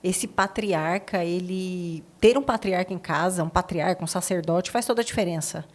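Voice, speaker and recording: A woman's voice: fairly low pitch, normal speed. A woman with a Brazilian accent hosting a podcast interview, talking in a conversational, reflective way and affirming her guests. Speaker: podcast host.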